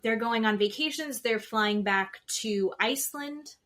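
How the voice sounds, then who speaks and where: A woman's voice: fairly high pitch, unhurried, fairly bright tone. A woman co-hosting a podcast, recapping television in a loose, informal, chatty way. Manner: informal, peppered with "like", quotes people conversationally. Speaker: podcast co-host. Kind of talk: casual chat recapping TV.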